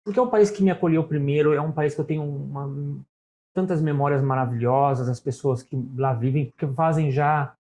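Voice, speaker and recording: Talkative middle-aged Brazilian man, mellow voice. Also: on the high side, a little fast. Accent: São Paulo Brazilian Portuguese. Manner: storytelling, talkative, frequent "né?" tags. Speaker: interview guest. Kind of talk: casual interview conversation.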